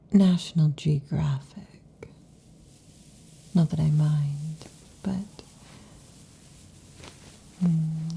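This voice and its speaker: A woman's voice: deep voice, gravelly, mellow, slightly rough, heavily acted. Woman whispering as an intimate snake-tailed lamia. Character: restless affectionate female lamia, snake-tailed monster girl. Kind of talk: ASMR roleplay.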